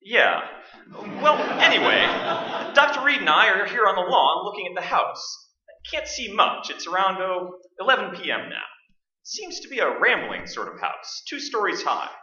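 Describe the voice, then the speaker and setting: An actor playing a jaunty, chatty ghost-hunting radio host with showy, breezy announcer patter in a staged radio play. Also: male voice, high voice, very animated, normal speed, pushed and bright, heavily acted. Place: indoor stage, live performance. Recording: roomy, distant, dull stage recording.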